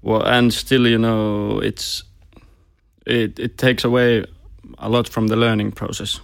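A man hosting a casual podcast interview, chatty and a little halting. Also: fairly low pitch, level tone, gravelly, smooth, no room sound, studio quality. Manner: chatty, slightly halting, repeats words. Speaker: podcast host.

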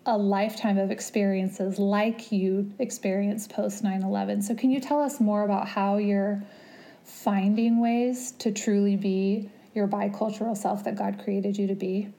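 A woman interviewer speaks in a mellow, warm tone with very little rise and fall. She frames her questions in a measured, appreciative way and slows down to read quotes. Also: mid-range voice, little pitch movement, creaky. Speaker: podcast host.

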